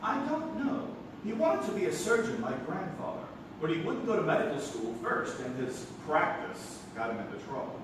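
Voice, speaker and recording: An actor playing a flustered, incredulous nephew in a live stage comedy, stammering and exasperated. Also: male voice, fairly high pitch, very animated, moderate pace, few pauses. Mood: dry, wry. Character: flustered, incredulous young nephew, theatre-critic type. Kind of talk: stage comedy radio-theatre acting. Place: live theatre, distant microphone. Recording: roomy, noisy stage recording from far off.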